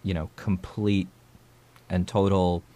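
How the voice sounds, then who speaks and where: A man's voice: low-pitched, flat delivery, takes it slowly, warm tone. A man hosting a podcast, talking things through in a thoughtful, hesitant way and often repeating his words. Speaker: podcast host. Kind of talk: casual discussion.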